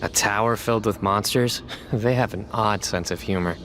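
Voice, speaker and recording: A man's voice: fairly low pitch, moderate pace, a little hiss or hum, lightly acted. An actor playing a laid-back, wry male companion in a video game cutscene. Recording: loud music behind the voice.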